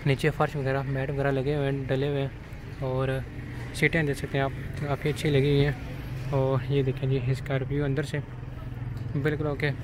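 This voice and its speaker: A man's voice: mid-range voice, monotone. A young man in a salesman's patter, showing viewers around a used van for sale outdoors while filming on a handheld phone. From Pakistan-administered Kashmir. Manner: brisk salesman patter, addresses viewers directly. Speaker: used car dealer vlogger. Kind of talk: walkaround vehicle review. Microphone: handheld phone camera at arm's length. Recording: noisy outdoor phone recording.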